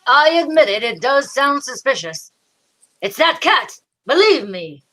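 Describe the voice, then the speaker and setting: A woman's voice: sits high, big pitch swings, unhurried, a bit bright, exaggerated voice, poor audio. A woman playing a fussy, queasy, melodramatic male sidekick with florid speech in old-time radio mystery style. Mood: wary, fretful. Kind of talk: 1940s radio comedy-mystery acting.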